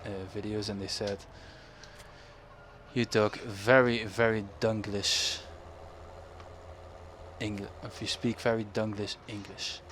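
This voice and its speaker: A young man with a Dutch accent talks through his farming game in a relaxed, meandering way, often correcting himself mid-sentence. His voice sits on the low side. Engine rumble and game ambience run clearly beneath him. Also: slow pace, long pauses. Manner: rambling, self-correcting, chuckles often. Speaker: gaming YouTuber. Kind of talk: casual gameplay commentary. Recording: game engine noise behind voice. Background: farm machinery and ambient game sounds.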